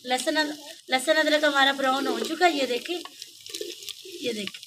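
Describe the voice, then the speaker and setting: A woman's voice: high voice, moderate pace. A woman with a Pakistani Urdu accent, chatting homely through a recipe as she cooks outdoors at a clay stove at night, and pleading with viewers to subscribe. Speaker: cooking vlogger. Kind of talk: casual cooking narration. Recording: distant voice with sizzling noise.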